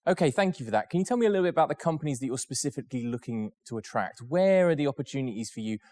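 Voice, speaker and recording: A man's voice: medium pitch, expressive, rapid-fire, mellow, no room sound, studio quality. A man asking questions as the interviewer in a business interview. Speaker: interviewer, moderator.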